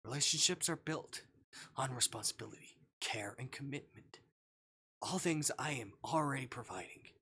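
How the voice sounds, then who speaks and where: A man voicing a calm, controlling visual novel kidnapper who speaks quietly and clinically, as if he were a caring partner. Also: mid-range voice, normal speed, breathy edge. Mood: composed, insistent. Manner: quiet, composed, clinical, condescending. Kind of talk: visual novel character reading.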